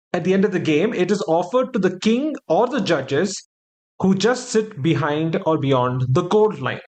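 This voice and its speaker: Measured man hosting a trivia podcast. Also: high-pitched, a little mellow. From Kerala, India. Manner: measured, reads out quiz questions. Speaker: podcast host.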